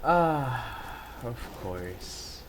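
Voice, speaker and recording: A woman's voice: extremely low pitch, expressive, very slow. A young woman giving casual gameplay commentary in short, laid-back murmured reactions. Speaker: gaming video host. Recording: clear background noise, game audio.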